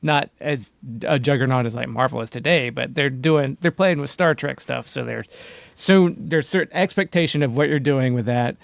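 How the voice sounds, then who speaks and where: A man's voice: medium pitch, normal speed, vocal fry, no room sound. A man co-hosting a podcast, discussing television casually and arguing his points with emphasis. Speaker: podcast co-host.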